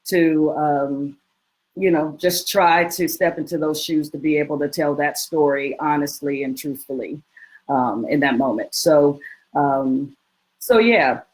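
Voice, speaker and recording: A woman's voice: sits low, unhurried, darkish tone, rough recording. A woman, a guest performer, reflecting earnestly and hesitantly in an informal panel discussion.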